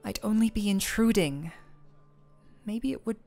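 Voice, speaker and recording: A young woman playing a shy, smitten former coworker in an intimate romantic audio roleplay, speaking softly and haltingly. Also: mid-range voice, vocal fry, soft background music. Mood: wistful, hesitant. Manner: shy, halting, soft-spoken.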